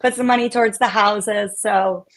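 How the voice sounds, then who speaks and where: A woman's voice: mid-range voice, fairly even intonation, moderate pace. A woman in her twenties hosting a sports picks show, upbeat with short encouraging replies. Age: 20s. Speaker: show host. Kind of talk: casual chat.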